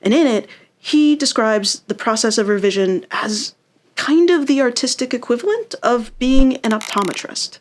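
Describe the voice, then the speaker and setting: A woman's voice: fairly high pitch, lively intonation, normal speed. Woman teaching in an encouraging, conversational way. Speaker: writing instructor. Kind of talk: instructional lesson delivery.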